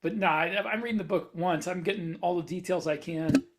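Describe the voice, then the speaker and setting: A man with a notably high voice chats casually on a writers' podcast. He talks as a co-host and fellow novelist, in an everyday conversational way. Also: brisk.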